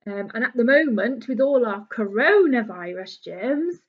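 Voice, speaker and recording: Female British primary teacher, voice on the high side, reading and explaining a picture book to young kids; slightly dull, lo-fi sound. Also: animated, a little slow. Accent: British English. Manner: explanatory, speaking simply as to young children. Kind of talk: children's story reading with simple explanations.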